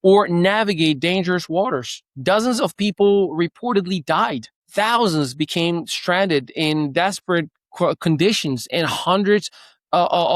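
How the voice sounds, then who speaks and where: Moroccan-born guy doing news commentary, kinda high voice, dead dry sound, earnest and opinionated. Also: a bit bright, no room sound. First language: Moroccan Arabic. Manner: earnest, opinionated, with some restarts and fillers. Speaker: news show host.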